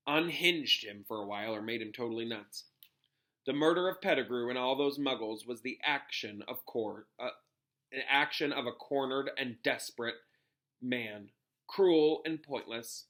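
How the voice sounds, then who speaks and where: A man voicing a pompous, grave middle-aged government minister in a storybook, recounting things in a halting, dramatic way. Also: medium pitch, fairly bright tone, close to an everyday voice. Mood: grave, unnerved.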